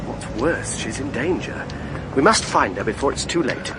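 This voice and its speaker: A man's voice: medium pitch, normal speed, loud music behind the voice, close to an everyday voice, rough recording. An actor playing an urgent, gallant, impulsive young man with a British accent in a radio drama. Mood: grave, worried. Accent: British English. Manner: impulsive, insistent, excitable.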